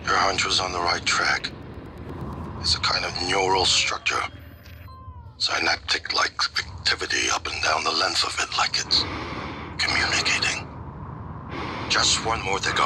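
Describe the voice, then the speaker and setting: Scheming executive scientist for a game: low, deliberate, persuasive, over clear ambient noise. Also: male voice. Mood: pleased, intrigued. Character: composed scheming male scientist, executive brother of the listener. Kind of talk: video game voice acting, radio messages.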